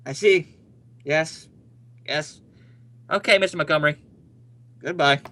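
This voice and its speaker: A man's voice: fairly high pitch, slow pace, sharp tone. A male actor playing a brash, stubborn young husband in an old-time radio comedy, emphatic and blustering. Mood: flustered.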